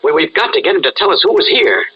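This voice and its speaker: A man plays an earnest reporter in an old radio adventure. His voice is fairly high and husky, swinging widely as he insists and pleads, on a dull recording. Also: expressive, fast. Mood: urgent. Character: earnest, urgent male newspaper reporter with secret identity. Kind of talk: old radio serial acting. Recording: dull, band-limited radio-style recording.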